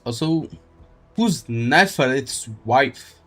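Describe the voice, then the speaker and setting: A man live streaming a game, chatting casually in a halting, rambling way as he thinks aloud. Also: medium pitch, animated, fairly bright tone, faint music behind. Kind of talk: casual gaming stream chat.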